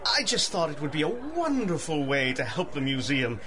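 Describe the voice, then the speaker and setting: A man playing a proud, distraught wealthy gentleman in 1930s-style radio drama, melodramatic, wounded and formal. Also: on the high side, sing-song, moderate pace, crowd noise. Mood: anguished.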